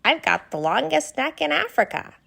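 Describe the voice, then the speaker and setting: A kind, eager giraffe from a children's storybook, voiced by a woman. Her speech swoops up high and is very animated, as if talking to small children. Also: normal speed, gravelly, exaggerated voice. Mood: eager, cheerful.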